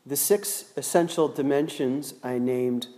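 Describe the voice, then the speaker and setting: Slow, deliberate preacher in a reverberant hall. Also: male voice, mid-range voice, mellow. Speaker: pastor. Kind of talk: sermon.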